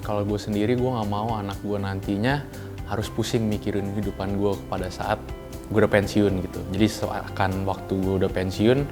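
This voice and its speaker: A man's voice: fairly low pitch, little pitch movement, brisk, music in the background. A man in his mid twenties giving casual, colloquial opinions in an interview. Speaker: campaign officer being interviewed.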